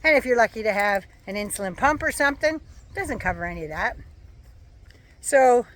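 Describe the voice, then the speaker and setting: A woman giving a sarcastic, profane political rant as a vlogger, her voice going hoarse. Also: a little high, lively intonation, moderate pace, fairly bright tone. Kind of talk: casual ranting monologue.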